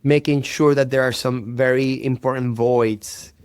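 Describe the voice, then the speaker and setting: Clear-voiced Puerto Rican man, earnest. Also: mid-range voice, steady tone, a little slow, darkish tone, no echo. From Puerto Rico. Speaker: interview guest, performing artist. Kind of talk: reflective conversational interview.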